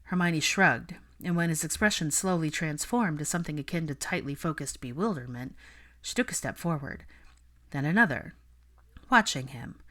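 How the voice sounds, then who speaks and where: A woman's voice: deep voice, expressive, moderate pace, a bit bright. A woman reading fiction aloud as an audiobook narrator, in a scripted storytelling style.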